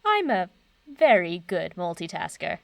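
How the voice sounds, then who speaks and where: A woman's voice: on the low side, sing-song, vocal fry, no echo, full character voice. Composed, confident older woman professor. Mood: wry, assured. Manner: composed, measured, formal. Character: eminent older woman professor-doctor, confident, kind, wry. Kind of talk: audio drama acting.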